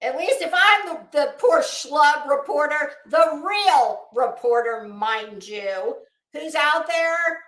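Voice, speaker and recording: A high-pitched American woman delivers casual, sarcastic livestream commentary. Her intonation is highly animated and emphatic, with a salty, scornful edge. The sound is roomy and echoing. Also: slow pace. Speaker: online livestream host.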